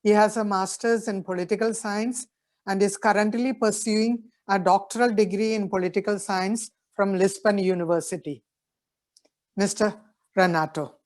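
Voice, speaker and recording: A woman moderates a panel and introduces the next speaker. She reads in a measured, formal way, in a voice of ordinary pitch. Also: fairly even intonation, a little mellow.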